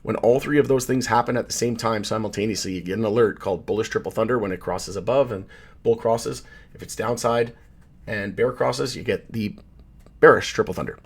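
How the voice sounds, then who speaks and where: A man with a somewhat low, hoarse voice hosts a casual commentary on market charts. He rambles easily, leans on fillers and stops to correct himself mid-sentence. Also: fairly quick. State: hoarse, losing his voice. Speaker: channel host, market commentator.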